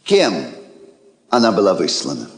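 An actor playing a haughty, ironic king in a classic radio drama, speaking in a pompous, theatrical, deliberate manner. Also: on the low side, wildly swooping pitch, creaky, exaggerated voice. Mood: displeased, questioning. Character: haughty, ironic, courtly king receiving a diplomat. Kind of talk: classic Russian stage radio drama acting. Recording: echoey theatre-like hall, old recording.

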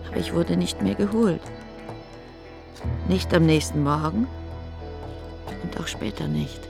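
A reflective woman looking back in a literary radio play. Her voice is deep with a gravelly creak, and music runs clearly behind it. She recounts things deliberately and intimately. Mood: wistful. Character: reflective woman recalling an eccentric old count. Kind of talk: literary radio drama storytelling.

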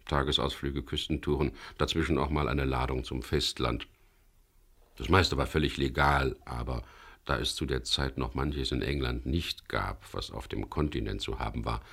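An actor playing a wry, world-weary middle-aged English skipper who narrates a radio crime drama in a gravelly, laconic voice. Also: male voice, a bass voice, fairly bright tone, full character voice. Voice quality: gravelly, husky. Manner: laconic, dry, ironic.

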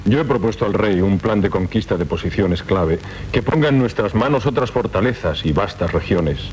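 Steadfast young knight , male actor, Castilian accent, deep-leaning voice, idealistic, measured, vintage radio drama. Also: lively intonation, a little mellow, natural voice. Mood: earnest. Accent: Castilian Spanish. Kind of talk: 1970s radio drama acting. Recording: noisy 1970s radio recording with rumble.

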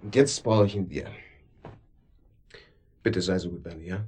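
An actor playing a domineering, controlling man in a radio drama, pressing with questions and swinging between sharp and soothing. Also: low voice, moderate pace, slight background noise, close to an everyday voice. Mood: condescending, coaxing. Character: domineering, controlling man, cynical and coaxing, hiding guilt. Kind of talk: naturalistic radio drama acting. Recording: studio radio play.

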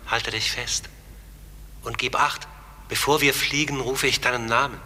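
A man playing a gentle, mysterious talking raven in a radio play, speaking in sparse, solemn, cryptic phrases. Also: medium pitch, fairly even intonation, measured pace. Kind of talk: German radio play acting. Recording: long reverberant hall, music behind.

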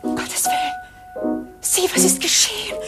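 A woman's voice: takes it slowly, lightly acted. An actress playing a horrified woman pleading for help in a classic radio drama. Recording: old radio recording under loud music.

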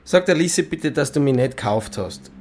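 A man's voice: medium pitch, expressive, moderate pace, darkish tone, a little hiss or hum, lightly acted. A man playing an easygoing, common-sense alpine nature boy with an Austrian accent in a German radio play, laconic and down-to-earth.

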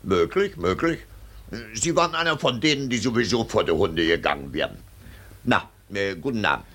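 A man's voice: fairly low pitch, lively intonation, normal speed, a bit bright. An actor playing an aloof, dismissive male army colonel in a radio play, curt and indifferent. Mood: cold dismissal.

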